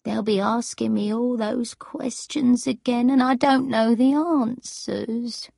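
An elderly, childlike grandmother played by a woman narrator in a storybook, muddled and suspicious yet stubborn. Her voice is husky and slightly creaky, wandering from question to question. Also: fairly even intonation, takes it slowly, dark tone, no room sound, studio quality, exaggerated voice. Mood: confused, anxious. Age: older. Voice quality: strange high singing voice when breaking into song.

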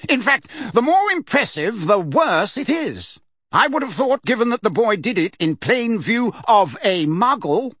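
A man's voice: high voice, big pitch swings, normal speed, fairly bright tone, no room sound, studio quality, voice pushed up, full character voice. A man reading aloud, voicing a pompous middle-aged male official who talks in a testy, fussy way.

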